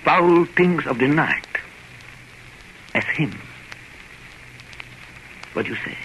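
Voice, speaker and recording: Grave, forceful professor of vampire hunters. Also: male voice, fairly high pitch, animated, lo-fi sound, heavily acted. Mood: grave, commanding. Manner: solemn, oratorical, deliberate phrasing. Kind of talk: 1930s radio drama acting. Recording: noisy old radio broadcast recording.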